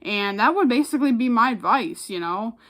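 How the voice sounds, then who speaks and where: A woman's voice: fairly high pitch, expressive, brisk, a bit bright. An American woman who works as an announcer chats casually to camera in an informal, rambling, self-effacing way. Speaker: public address announcer and vlogger.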